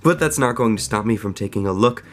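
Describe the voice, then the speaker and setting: A young man with a cold, hosting a casual, enthusiastic toy review video full of fillers and quick asides. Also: on the low side, animated. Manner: enthusiastic, chatty, frequent fillers. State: sick, voice affected. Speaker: toy reviewer, channel host.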